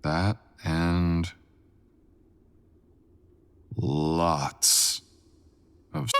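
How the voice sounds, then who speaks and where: A man playing a brooding, possessive, dangerous creature boyfriend in an intimate ASMR roleplay, husky and breathy, murmuring teasing commands. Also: deep voice, heavily acted. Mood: playful, coaxing. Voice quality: husky, breathy, often dropping to a whisper. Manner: slow, teasing, murmured commands with deliberate pauses.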